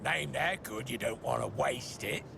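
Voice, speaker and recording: An actor playing an eccentric, self-absorbed arms dealer in a video game, talking in brisk, clipped slang. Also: male voice, mid-range voice, expressive, vocal fry. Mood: brisk, scheming. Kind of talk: video game character acting. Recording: game audio with vehicle ambience noise.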